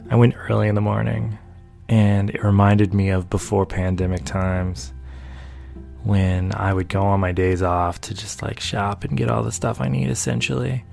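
A man's voice: fairly low pitch, flat delivery, normal speed, gravelly, darkish tone, faint music behind. A man from North Carolina, a painter chatting casually to camera in a rambling, amused storytelling way. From North Carolina, USA. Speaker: painter and video maker. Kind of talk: casual talking-head chat.

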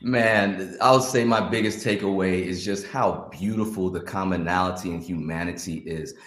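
A man from Scotland, raised elsewhere, speaking as a panel guest with an effusive, halting manner. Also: deep voice, fairly even intonation, a bit bright, echoey room.

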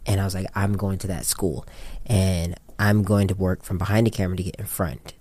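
A man's voice: low-pitched, level tone, a little fast, a little mellow, slightly rough. An American man speaking casually and candidly as an interviewed director, telling anecdotes with plenty of slang. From Japan. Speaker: interviewed music video director and photographer.

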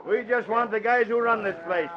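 A man's voice: high voice, normal speed, a crowd in the background, lightly acted. An actor playing a stern, commanding policeman with curt, barked orders in 1940s radio drama style. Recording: muffled vintage radio recording.

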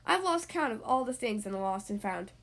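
Storybook principal: weary and put-upon, voiced by a woman, lively read. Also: fairly high pitch, fairly quick, pitched below the performer's own voice. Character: weary man school principal.

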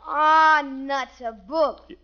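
A performer playing a spoiled, whiny little American boy, blunt and loud, in old radio comedy style. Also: high voice, fairly even intonation, drawn-out words, full character voice. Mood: sulky. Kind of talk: 1940s radio comedy acting. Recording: muffled old radio recording.

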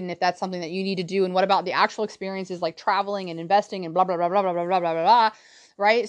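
A woman in her thirties hosting a solo personal-development podcast, chatty and blunt, often checking in with her listeners. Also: on the low side. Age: 30s. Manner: chatty, keeps checking in with "right?" and "all right?"; blunt and a little profane. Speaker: podcast host.

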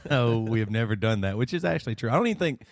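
A man's voice: mid-range voice, animated, very fast, no echo, studio quality. A man in his late thirties with a Southern American accent, co-hosting a podcast and telling rambling stories with frequent laughter. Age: 30s. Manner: rambling storyteller, laughs often. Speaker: podcast co-host. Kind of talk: casual chat.

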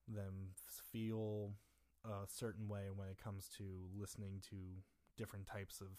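A young man with a somewhat low voice talks in a relaxed, rambling way, circling back and restarting his thoughts. His voice sounds a little strained, as if it has been overused. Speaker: guest drummer. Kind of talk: casual chat.

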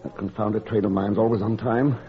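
An actor playing an earnest, tender gentleman in love, in an old radio drama, with a husky voice. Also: fairly low pitch, fairly even intonation, a little fast, natural voice. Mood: resigned, sorrowful. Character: earnest, lovelorn English gentleman, tender and resigned. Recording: muffled old radio recording.

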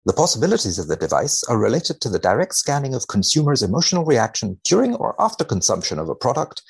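A man's voice: medium pitch, sing-song, fast talker, creaky, slightly rough. A man speaking as a researcher guest, reading studies aloud and commenting with dry mock seriousness.

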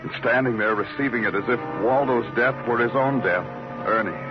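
An actor playing a world-weary, brooding police detective in an old noir radio drama, with a terse, hardboiled manner. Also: male voice, medium pitch, a little fast, natural voice. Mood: brooding, poetic. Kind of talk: 1950s radio noir acting and narration. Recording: muffled old radio, loud music.

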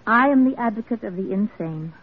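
A woman's voice: medium pitch, expressive, brisk, husky, narrow, tinny audio. An actress playing an earnest, unyielding woman crusader in an old radio drama, formal, impassioned and blunt. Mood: earnest pleading. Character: earnest, unyielding female crusader for the mentally ill. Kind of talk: classic American radio drama acting.

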